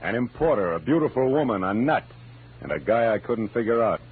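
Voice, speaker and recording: An actor playing a wry, world-weary American private detective in 1940s radio noir, with sardonic, slangy narration. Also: male voice, mid-range voice, sing-song, a little fast, husky. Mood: grim, teasing. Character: wry, hard-boiled male private detective, narrating his cases. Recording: muffled vintage radio recording, music behind.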